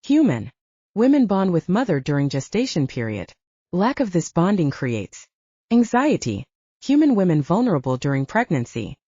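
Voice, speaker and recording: A woman plays a male alien palace doctor in an audiobook, clinical and probing. The phrasing is formal and clipped, the tone mellow. The sound is dry and a little dull. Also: on the low side, very animated, normal speed, husky, dry recording, full character voice. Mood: clinical muttering.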